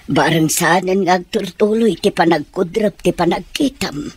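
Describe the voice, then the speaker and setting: A woman with an Ilocano accent playing a concerned older mother who gives gentle counsel in a radio drama. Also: lowish voice, normal speed, slightly rough. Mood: counselling.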